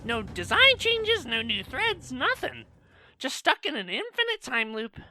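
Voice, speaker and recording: Cheeky male cartoon monkey, female actor, sassy talker, bright and very animated. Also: high voice, unhurried, heavily acted. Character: cheeky thirty-year-old male cartoon monkey, chatty and opinionated. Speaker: co-host of a fan question show. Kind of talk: fan audio drama voice acting. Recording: dry voice over background music.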